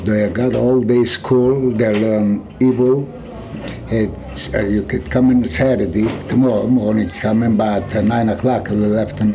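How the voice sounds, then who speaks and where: Gravelly old man with Yiddish accent. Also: medium pitch, dark tone, slight background noise. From Poland. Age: 70s. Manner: halting, searching for words, slipping into Yiddish. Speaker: interviewee. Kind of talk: oral history recollection.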